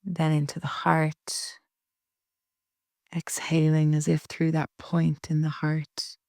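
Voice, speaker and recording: A woman leading a guided relaxation meditation in a soft, breathy, soothing way with long pauses. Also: sits low, completely flat delivery, no room sound, studio quality. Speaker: yoga nidra meditation guide.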